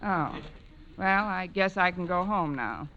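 An American actress playing a witty, kindly woman teacher with dry deadpan delivery in a vintage radio comedy. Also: lowish voice, unhurried, lo-fi sound, soft background music, exaggerated voice. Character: wry, witty, kindly female high school English teacher. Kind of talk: 1940s-50s American radio comedy acting. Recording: noisy vintage radio broadcast.

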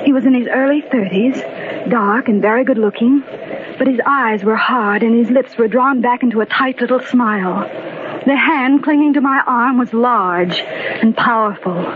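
Actress as high-voiced, haunted 1940s radio heroine. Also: female voice, normal speed, natural voice. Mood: uneasy. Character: bewildered, anxious young working woman telling her strange story. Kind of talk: 1940s radio drama first-person narration. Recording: noisy, tinny 1940s radio recording.

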